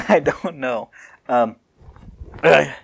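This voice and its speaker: A man reads a story aloud in a lively, swooping voice with a gravelly edge. He is casual and giggly, slipping out of narration into joking asides as he co-hosts. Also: fairly high pitch, extremely slow. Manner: giggly, easily breaks out of narration. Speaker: co-host reading fan fiction aloud. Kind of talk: casual amateur dramatic reading with joking asides.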